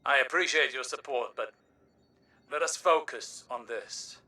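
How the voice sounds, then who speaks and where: A man's voice: medium pitch, measured pace, pushed and bright, distant mic. A man voicing a grave, intense older scholar in a video game, formal and emphatic.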